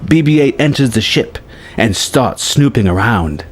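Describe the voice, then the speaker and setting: A man's voice: medium pitch, expressive, unhurried, breathy edge. A man reading out a scripted film story treatment as narrator, in present-tense prose. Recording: music behind the voice. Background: music.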